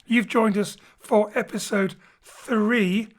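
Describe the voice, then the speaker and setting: A man introducing an audio drama as its director, in a measured way. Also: high-pitched, fairly even intonation. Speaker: theatre director and presenter.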